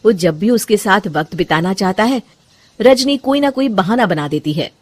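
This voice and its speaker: A woman's voice: mid-range voice, animated, normal speed. A woman narrating a scripted cartoon story.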